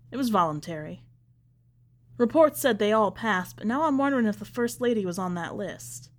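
A woman reading a first-person short story as a scripted narration for a podcast. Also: mid-range voice.

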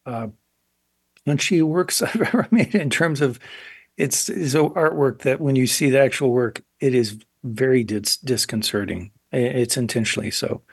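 An older man, a guest artist on a radio interview, answering thoughtfully with frequent restarts and pauses. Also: mid-range voice, slightly rough, no room sound, clean studio sound.

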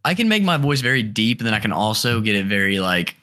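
A young man co-hosting a casual podcast chat, with a deep, throaty voice and a slight bro tone, laughing often and swearing freely. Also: very animated, quick pace, pushed and bright. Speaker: podcast co-host.

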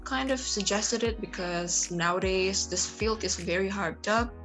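A woman's voice: medium pitch, a little slow, a bit bright, low-quality recording. Young woman, Indonesian native, hesitant, lots of fillers, faint music underneath. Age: young adult. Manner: hesitant, many fillers and restarts. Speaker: interview guest, computer science student. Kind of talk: casual conversational interview.

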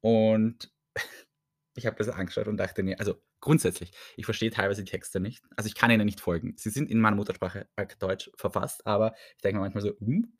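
A man with an Austrian accent hosts his own podcast in a relaxed, rambling way. He pokes fun at himself and laughs often. His voice sits a little low and the recording is close and dry. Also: brisk, slightly rough, no echo. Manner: chatty and self-mocking, laughs often. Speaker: podcast host. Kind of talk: casual solo podcast chat.

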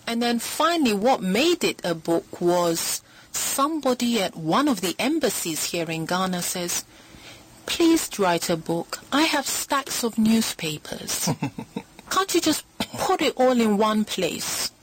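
Lively, laughing woman telling her story. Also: medium pitch, takes it slowly, slightly rough, low-quality recording. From Britain. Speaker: author guest. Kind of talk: radio talk show conversation.

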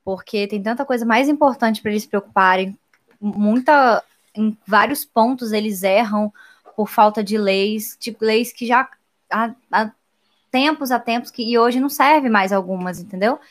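Hesitant woman, fairly high voice. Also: normal speed. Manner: hesitant, searches for words, ends lines with "entendeu?". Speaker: host's wife, co-presenter. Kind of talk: casual political chat.

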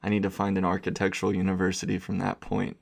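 Gravelly low-voiced podcast host. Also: male voice, completely flat delivery, quick pace. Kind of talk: podcast interview.

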